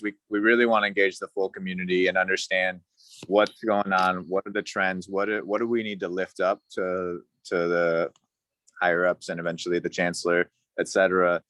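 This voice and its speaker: A man's voice: low voice, a bit bright, no room sound. A man from El Salvador who works in family engagement for a school district, explaining his role earnestly on a video call. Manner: friendly, earnest, thinks aloud. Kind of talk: introducing himself at a virtual community meeting.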